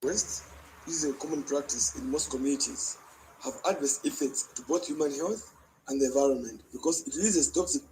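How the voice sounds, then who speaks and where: A man's voice: on the high side, mellow. A man with a Zambian accent giving a formal prepared statement as a health spokesman, point by point. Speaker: public health association coordinator. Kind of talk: prepared statement in a news interview. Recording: noisy interview clip, faint background noise.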